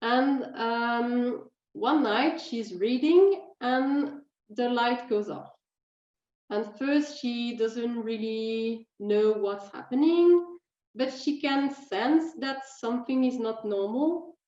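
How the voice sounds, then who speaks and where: A woman's voice: a little high, takes it slowly, a little mellow, roomy sound, lo-fi sound. A young woman with a Swiss French accent, a writer talking about her books in English with many fillers and restarts. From French-speaking Switzerland.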